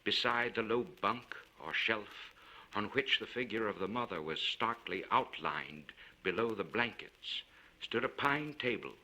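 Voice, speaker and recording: Guy reading an old story out loud, formal and measured, really animated pitch, sharp bright tone. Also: low-quality recording. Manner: measured, formal, expressive delivery. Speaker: audiobook narrator. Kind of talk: dramatic literary story reading.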